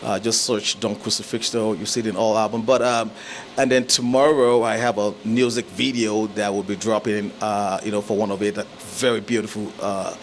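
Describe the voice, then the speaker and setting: A man from Nigeria, a musician and actor, chatting in an interview, relaxed and chuckling, with many fillers and restarts. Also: on the low side, normal speed, slight background noise. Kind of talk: casual interview chat.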